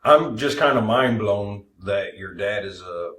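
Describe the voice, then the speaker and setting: A man's voice: fairly low pitch, animated, unhurried, fairly bright tone. A man trading casual banter as a comedy podcast co-host, with dry, teasing wisecracks. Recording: roomy, echoey podcast sound.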